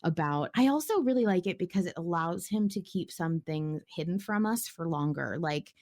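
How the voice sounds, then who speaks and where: Low-voiced woman in enthusiastic fan chat. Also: normal speed. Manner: enthusiastic, expressive, casually sweary. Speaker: podcast co-host discussing a horror audio drama. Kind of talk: casual fan discussion.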